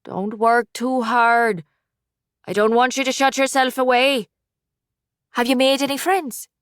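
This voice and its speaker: A woman's voice: on the high side, fairly even intonation, clean tone, no echo, clean studio sound, voice pushed up, natural voice. A woman voicing a worried, doting mother who fusses over her son. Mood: fond. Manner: anxious, doting, quick to worry. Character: fretful loving mother, older woman.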